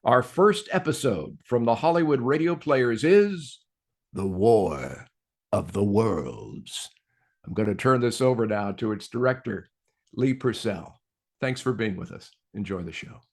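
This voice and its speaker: Guy reading a steady polite intro for a radio show. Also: lowish voice, unhurried, creaky. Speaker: announcer and presenter. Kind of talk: scripted introduction.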